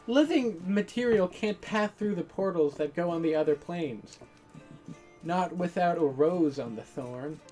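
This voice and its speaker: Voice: mid-range voice, measured pace, warm tone, soft background music, lightly acted. A woman voicing a guarded, grumpy young sister in a visual novel game. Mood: wary.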